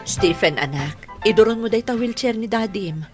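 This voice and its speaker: A woman's voice: medium pitch, lively intonation, normal speed, over music, lightly acted. A woman playing a blunt older matriarch in a radio drama. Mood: soothing. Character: scolding, blunt older aunt or mother.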